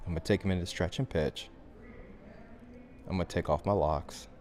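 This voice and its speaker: A man giving a software tutorial as instructor, talking through the steps in an informal, conversational way. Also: deep voice, normal speed, a little hiss or hum.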